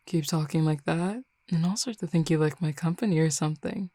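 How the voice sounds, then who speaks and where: A woman's voice: low voice, fairly quick, warm tone, no echo. A woman voicing a confident, flirty female werewolf in an audio roleplay, playful and teasing. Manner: playful, teasing, self-assured. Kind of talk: scripted audio roleplay acting.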